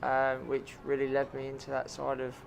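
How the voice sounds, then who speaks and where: A man, a chef in his thirties, talks about his work in a gravelly, mid-pitched voice. He answers earnestly, with frequent ums and restarts. A faint hum of noise sits under the recording. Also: completely flat delivery, normal speed. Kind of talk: interview answers.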